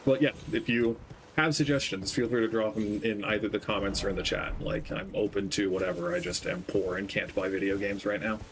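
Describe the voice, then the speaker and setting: A young man streaming a game, talking in a gentle, soft-spoken, rambling way with lots of ums. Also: lowish voice, steady tone, fairly quick, slight background noise, low-quality recording. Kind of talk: casual gameplay stream chat. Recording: game music playing behind. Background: game music.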